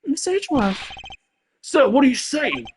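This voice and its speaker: A man voicing a loyal, eager, slow-witted male police detective in a game, with an excitable, puzzled, blunt manner. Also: extremely high pitch, slight background noise, pitched above the performer's own voice, full character voice. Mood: puzzled, startled. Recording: music behind the voice.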